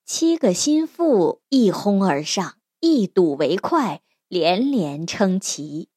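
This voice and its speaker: A woman narrating a scripted fairy tale as an expressive storyteller. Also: mid-range voice, slow, breathy edge, no echo, clean studio sound.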